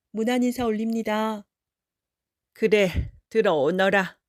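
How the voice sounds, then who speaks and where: A woman storyteller narrates a children's folktale. Her pitch is mid-range and her phrasing is that of a picture-book reader. The recording is dry, with almost no room sound. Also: a little mellow, no echo.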